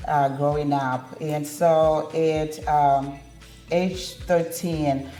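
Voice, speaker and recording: A woman from Zimbabwe tells her story in a calm, measured way, her voice low and mellow. She sounds like she is in an echoey room, with faint music behind her. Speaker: guest, ministry founder. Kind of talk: interview testimony.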